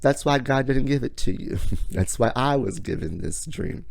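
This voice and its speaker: A man hosting a motivational monologue in a sassy, theatrical way, raspy and hoarse from being under the weather. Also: mid-range voice, normal speed, darkish tone, no echo. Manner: sassy, theatrical, calls listener honey and darling. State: hoarse from illness. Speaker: podcast host.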